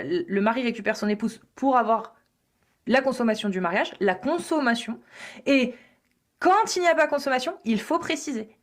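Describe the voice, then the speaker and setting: A woman hosting a live online debate, insistent and repetitive, with many fillers. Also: on the high side.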